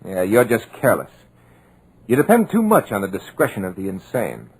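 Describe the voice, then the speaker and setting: An actor playing a wry, trapped screenwriter in a 1950s radio drama, with a husky, hard-boiled, wisecracking delivery in an old radio recording. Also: male voice, on the low side, huge pitch swings, normal speed, a little mellow, heavily acted, low-quality recording. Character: wry, trapped male screenwriter, tough-talking.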